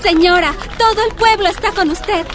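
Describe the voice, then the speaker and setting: A woman's voice: extremely high pitch, moderate pace, music in the background. An actress playing an eager, urgent townswoman in a radio drama. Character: excited townswoman in a crowd.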